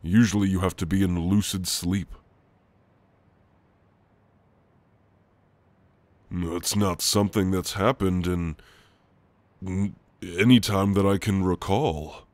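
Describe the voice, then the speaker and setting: A man playing a gentle, teasing sleep paralysis shadow demon in an intimate ASMR roleplay, speaking in a soft, halting, reassuring way. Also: sits low, steady tone, dry recording. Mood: curious. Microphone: close, dry microphone.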